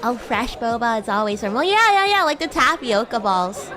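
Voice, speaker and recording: A woman's voice: on the high side, very animated, moderate pace, sharp tone, a faint crowd. A woman voicing a bratty, cute demon classmate in an improvised VTuber roleplay, teasing and coy, easily flustered into stammering. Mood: bashful. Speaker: VTuber streamer. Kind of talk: improvised VTuber roleplay, casual chat with viewers.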